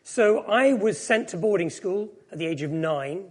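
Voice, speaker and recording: A man's voice: high voice, lively intonation, moderate pace. A middle-aged man, born in Japan, giving a reflective, self-deprecating personal sermon. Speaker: preacher.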